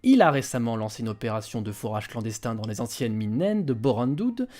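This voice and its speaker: A man's voice: medium pitch, very animated, a little fast, a little mellow, natural voice. A man playing a cautious, skeptical adventurer and researcher in a fantasy audio drama, explanatory in manner. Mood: explaining. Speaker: voice actor in an amateur audio fiction.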